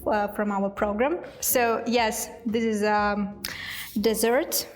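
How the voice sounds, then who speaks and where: A woman's voice: mid-range voice, steady tone, takes it slowly. A young woman hosting a cooking show who keeps the talk moving with short, friendly questions. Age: young adult. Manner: friendly, laughs often, short replies. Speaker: host. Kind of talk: casual chat on a cooking show.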